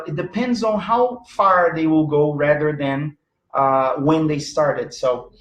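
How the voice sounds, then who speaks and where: A man lecturing in English, his second language after Portuguese, with a fairly high voice. He talks to a room of teachers in an animated way, searching for words as he goes, restarting and filling pauses. The room is echoey. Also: slight background noise. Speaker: teacher giving a talk.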